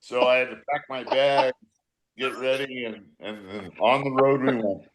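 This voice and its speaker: A man's voice: mid-range voice, moderate pace, crowd noise, rough recording. An older man chatting casually on a reunion call, telling stories in a blunt, profanity-laced way. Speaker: former baseball manager, podcast guest. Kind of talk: casual reunion chat, storytelling.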